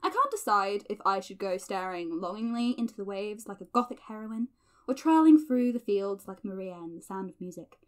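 A woman's voice: mid-range voice, very animated, a little fast, lightly acted. An actress playing a playful, nerdy young woman in a chatty audio drama diary, teasing and theatrical. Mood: chatty, wry.

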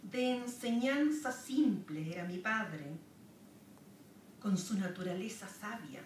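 A Chilean woman recites poetry from a podium at a very slow, measured pace, pausing between each line. She sounds distant, picked up by a camera across a wood-paneled lecture room with a roomy echo and audible background hiss. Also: medium pitch, animated. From southern Chile. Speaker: poet. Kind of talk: poetry reading with spoken asides. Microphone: camera far across the room.

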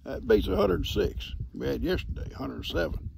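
Hoarse gravelly older man. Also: fairly high pitch, animated, a little fast, darkish tone. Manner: chatty, teasing, talks to his animals. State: hoarse, losing his voice. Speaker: farm vlogger. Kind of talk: casual talk to animals and camera. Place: outdoors under a shade tree on a farm. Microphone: phone held at arm's length.

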